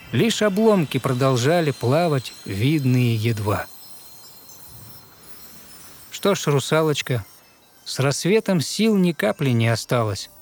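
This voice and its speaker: A man narrating a fairy tale in verse with measured, theatrical, expressive recitation. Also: a little high.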